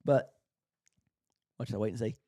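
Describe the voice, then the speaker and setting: A man's voice: mid-range voice, normal speed, warm tone, dry recording, studio quality. A man co-hosting a podcast in casual chat, with an informal, matter-of-fact way of talking. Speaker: podcast co-host. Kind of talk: casual chat about books and shows.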